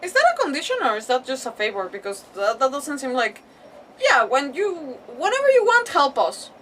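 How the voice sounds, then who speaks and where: A woman casually commentating on a live game playthrough, thinking aloud in an informal, expressive way. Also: high-pitched, normal speed, slight background noise. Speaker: game streamer. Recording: distant-sounding mic with game music behind. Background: game music.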